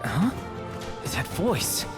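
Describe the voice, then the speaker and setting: An actor playing an earnest, dutiful young adventurer in a video game, speaking plainly. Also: male voice, extremely slow, loud music behind the voice. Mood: troubled.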